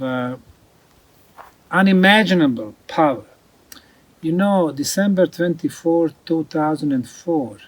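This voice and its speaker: Male presenter, slow and deliberate, slightly high voice, unscripted explanation with emphasis on key points. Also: dry recording, rough recording. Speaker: researcher presenting ancient megalithic sites.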